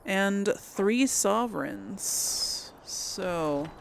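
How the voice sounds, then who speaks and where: A woman's voice: mid-range voice, lively intonation, drawn-out words, vocal fry, a little hiss or hum. A woman hosting casual video game commentary, thinking aloud as she plays. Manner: thinks aloud while playing. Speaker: gaming host.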